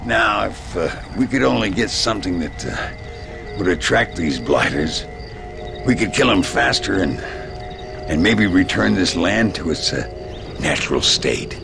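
An actor playing a grandiose, wistful old gunslinger in a video game, with a gravelly American voice and a slow storyteller's delivery. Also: male voice, sits low, big pitch swings, music in the background, full character voice. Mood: thoughtful. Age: older. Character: grandiose, wistful old male gunslinger, wry and self-glorifying. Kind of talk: video game cutscene acting.

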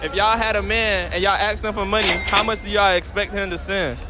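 High-voiced hype man on a loud street. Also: fairly even intonation, brisk, a loud crowd. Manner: hyped, playful, repeats himself. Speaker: street interviewer. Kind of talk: street interview. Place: busy city street at night. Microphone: handheld mic. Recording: crowded street noise.

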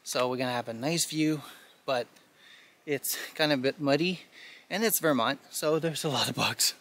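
A man's voice: mid-range voice, moderate pace, fairly bright tone, a little hiss or hum. A man talking to the camera while he climbs a steep mountain trail outdoors, cheerful and chatty with lots of fillers. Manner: cheerful, chatty, many fillers like "you know" and "uh". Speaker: hiking vlogger. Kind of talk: casual talk to camera while hiking.

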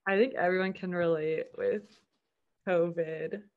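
A woman's voice: on the low side, steady tone, a little slow. A young woman chatting casually with classmates on a video call, friendly and encouraging. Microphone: laptop webcam on video call. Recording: dull, lo-fi video-call audio.

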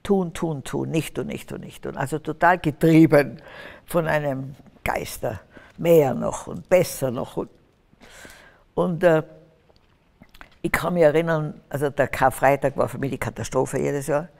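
Older woman, fervent preacher type, gravelly tone, hall reverb. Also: deep voice, unhurried, darkish tone. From Austria. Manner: fervent, preaching, rising to emphatic bursts. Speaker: guest. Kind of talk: testimony interview on stage. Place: stage with curtains, large hall.

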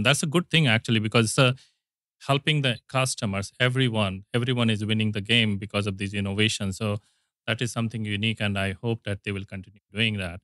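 Man in his forties, interviewer, lowish voice, dry close mic, casual loose phrasing. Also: fairly bright tone, no room sound. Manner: conversational, loose grammar, "you know" fillers. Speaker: podcast host, company co-founder. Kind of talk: business interview. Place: conference podcast studio set, indoors. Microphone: studio mic on boom arm, wearing headphones.

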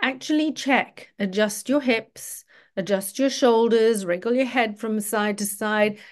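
Slow-paced, higher-pitched woman guiding relaxation. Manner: instructional, gently directive. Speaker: yoga nidra teacher. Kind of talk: guided relaxation meditation.

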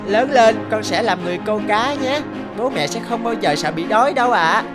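A performer voicing a cheerful, devoted little son in an animated moral story, eager and innocent in manner. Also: medium pitch, a little fast, over loud music, close to an everyday voice.

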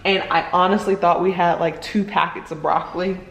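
A woman's voice: on the low side, steady tone, fairly quick. A young woman in her twenties, chatting playfully to viewers as she cooks at home, filmed by a camera on the counter. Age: 20s. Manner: chatty, playful, talks to viewers while cooking. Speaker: vlogger. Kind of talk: casual cooking vlog chat. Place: home kitchen, indoors. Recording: echoey kitchen with frying noise.

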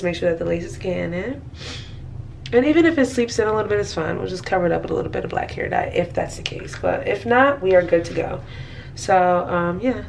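A woman's voice: medium pitch, creaky, slight background noise. A woman in her thirties chatting casually to her viewers in a rambling way, sounding stuffy and nasal from allergies, recorded at home. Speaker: vlogger. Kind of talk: casual vlog chat to viewers. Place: small apartment kitchen, indoors.

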